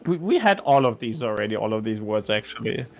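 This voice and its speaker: A man's voice: on the low side, sing-song, fairly quick, vocal fry, faint music behind. A man translating and commenting in a casual chat as co-host. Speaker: co-host translating game voice lines.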